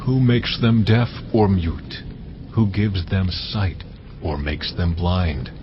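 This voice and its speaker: A man voicing God in a dramatized audio Bible, speaking slowly and solemnly. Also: sits low, steady tone. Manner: slow, solemn, measured. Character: God, a deep commanding male voice from above. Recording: dull lo-fi audio with faint hiss.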